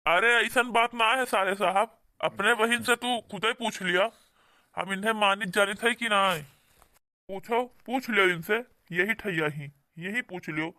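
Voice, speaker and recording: A man's voice: high-pitched, unhurried, sharp tone. A man with an Awadhi accent playing an aggrieved village husband in a comedy cartoon, earnest, complaining and pleading. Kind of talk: amateur comedy cartoon dialogue.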